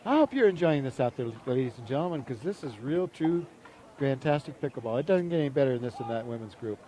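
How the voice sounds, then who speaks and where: A man giving chatty, excitable live commentary on a sports match. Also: medium pitch, fast.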